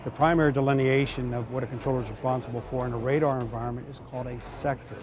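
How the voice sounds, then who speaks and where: A man's voice: mid-range voice, fairly even intonation, rapid-fire, music in the background. A man giving explanatory interview answers as an expert, in technical and formal wording. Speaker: air traffic expert being interviewed.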